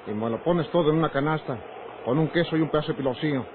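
An actor playing a bossy, short-tempered ranch man with a gruff rustic Mexican manner in an old radio drama. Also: on the high side, fast, natural voice. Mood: irritated, threatening. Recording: noisy, tinny old radio recording.

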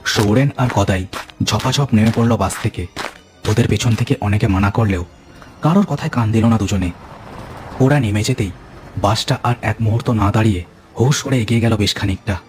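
A man's voice: on the low side, normal speed, darkish tone, faint music behind. A man telling a cartoon ghost story as narrator, with dramatic scripted delivery.

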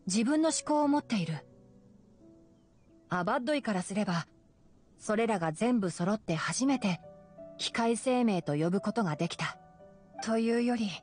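A woman voicing a calm, knowledgeable young fox-eared male ranger in a Japanese anime game, explaining things in a measured way. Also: medium pitch, close to an everyday voice. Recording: game music behind. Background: game music.

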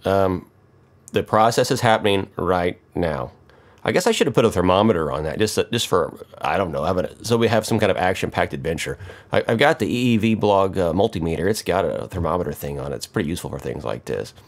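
A man's voice: low-pitched, expressive, fairly quick. A middle-aged American man giving casual, off-the-cuff how-to commentary in a wry, joking, self-mocking way. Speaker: electronics hobbyist, video host.

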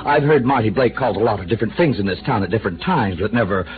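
A man's voice: lowish voice, animated, racing, natural voice. A man plays a flustered small-town insurance agent who rambles eagerly, his voice bouncing up and down. The sound is a tinny old radio broadcast with music behind him. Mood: fussy eager. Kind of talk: 1950s radio drama acting.

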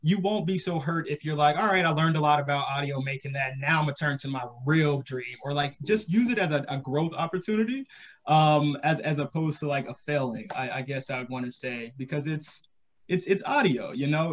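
A man's voice: on the high side, brisk. A man in his thirties talking casually on a panel video call, thoughtful and encouraging as he hedges his advice. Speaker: podcast creator, panel guest. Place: small home room indoors, video call. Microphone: computer video call.

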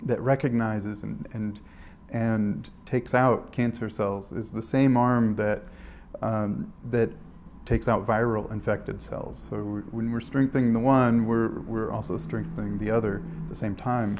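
A man, a physician on a panel, explaining in a halting way with many restarts, in a conference room. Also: lowish voice, steady tone, a little mellow, slight background noise.

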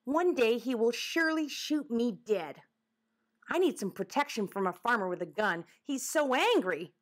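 A woman's voice: on the high side, lively intonation, moderate pace. A woman voicing a fussy, nervous male woodchuck in a children's storybook, with a folksy, formal and dramatic way of talking. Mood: worried.

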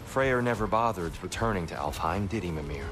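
Deep-voiced melancholy gentle god. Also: male voice, expressive, fairly quick, noisy background. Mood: wistful. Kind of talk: video game dialogue acting. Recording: music behind the voice.

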